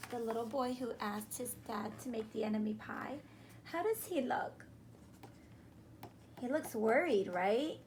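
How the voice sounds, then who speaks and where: A high-voiced woman reads a picture book to children. She takes her time, speaking expressively and stopping to put questions to her listeners. There is clear room noise in the recording. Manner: animated, questioning, slow and deliberate. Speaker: teacher reading a picture book aloud. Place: small room indoors, on a couch.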